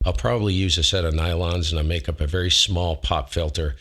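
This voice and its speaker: Very deep-voiced man, rambling gear demo. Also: fairly even intonation, fairly quick, fairly bright tone. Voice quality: low voice. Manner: rambling, self-correcting, folksy. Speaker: ham radio host. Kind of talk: casual equipment demo. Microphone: close dynamic studio mic.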